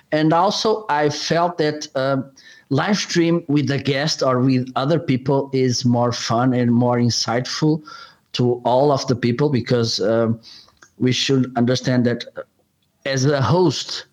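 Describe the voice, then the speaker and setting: A man's voice: mid-range voice, darkish tone, lo-fi sound. A man, an English speaker, telling his story as a podcast guest, hesitant, with frequent fillers and repeats. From Portugal. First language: Portuguese. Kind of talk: interview storytelling.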